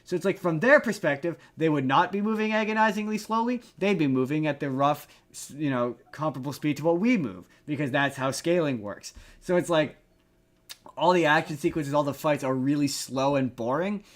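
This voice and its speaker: A man's voice: fairly high pitch, animated, a little fast. A man co-hosting a podcast in casual chat, rambling and repeating himself for emphasis. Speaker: podcast co-host. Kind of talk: casual chat reviewing movies.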